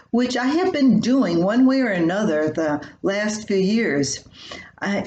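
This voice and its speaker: An older woman reading her own humorous personal column aloud in a folksy, expressive storytelling way. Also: mid-range voice. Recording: roomy echo with faint hiss.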